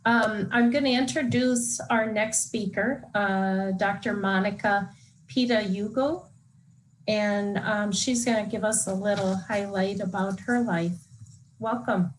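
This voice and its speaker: A woman's voice: mid-range voice, fairly even intonation, a little slow, a little hiss or hum, poor audio. A woman moderating a panel, asking polite questions and introducing speakers.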